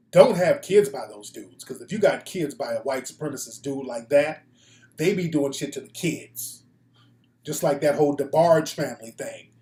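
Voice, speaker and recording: A man's voice: fairly high pitch, mellow. An American man hosting a casual, opinionated live talk show, speaking bluntly with slang and profanity. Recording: echoey room.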